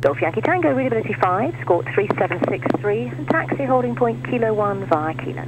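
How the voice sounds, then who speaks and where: An air traffic controller giving rapid radio clearances and instructions to aircraft. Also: medium pitch, sing-song. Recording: muffled radio line with noise.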